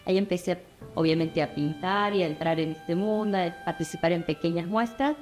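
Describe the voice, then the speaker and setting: A woman in her thirties from Argentina with an Argentine accent, a painter telling her story in a documentary interview in a reflective, measured way. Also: lowish voice, a little mellow, soft background music. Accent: Argentine Spanish.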